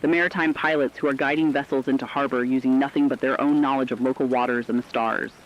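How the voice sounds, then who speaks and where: A man's voice: medium pitch, fairly even intonation, fast, slight background noise, lightly acted. A man playing an earnest emergency broadcaster, delivering a solemn, rhetorical monologue in a scripted radio drama. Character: earnest male emergency broadcaster honouring ordinary people in crisis. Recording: dull lo-fi radio sound.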